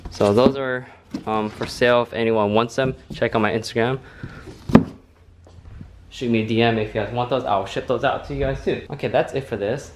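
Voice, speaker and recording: A man's voice: on the low side, a little hiss or hum. A man in his late twenties chatting casually to his vlog audience, rambling and laid-back with plenty of fillers. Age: 20s. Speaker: car vlogger.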